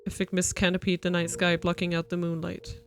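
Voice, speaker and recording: A woman streamer reading a visual novel's narration aloud, steady and even. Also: lowish voice, fairly quick, soft background music. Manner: steady narration with playful retorts.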